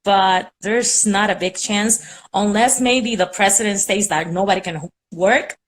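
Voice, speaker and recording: A young woman from Puerto Rico speaks English with a Puerto Rican accent, Spanish being her first language. She chats over a video call at a mid-range pitch, rambling and filling her sentences with fillers. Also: unhurried. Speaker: caller on a live stream. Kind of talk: casual chat.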